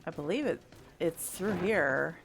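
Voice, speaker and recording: A woman's voice: lowish voice, animated, measured pace, vocal fry, faint music behind. A woman doing casual let's play commentary, thinking aloud in short musing bursts. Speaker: gaming video creator.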